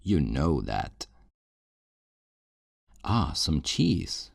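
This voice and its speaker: A man's voice: rumbling low, wildly swooping pitch, vocal fry, no echo, clean studio sound, exaggerated voice. A man playing a possessive, falsely tender kidnapper in an intimate ASMR roleplay, coaxing and condescending with veiled menace. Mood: falsely cheerful. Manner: slow, coaxing, condescending endearments with veiled threats.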